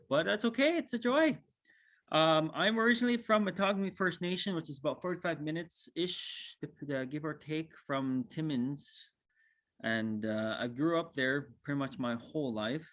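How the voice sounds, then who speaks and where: A man's voice: mid-range voice, fairly bright tone. Young guy from saskatchewan, laid back talk on a video call, lots of ums, mid voice. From northern Saskatchewan, Canada. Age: 20s. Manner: easygoing and humble, with frequent ums and uhs. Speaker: cultural coordinator giving a teaching talk. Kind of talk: casual spoken presentation.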